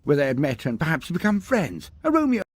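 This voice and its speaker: A man's voice: on the high side, huge pitch swings, brisk, slightly rough, dry recording. A man narrating a humorous scripted story in a theatrical, wordy, mock-grandiose manner. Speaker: narrator of a lore story video.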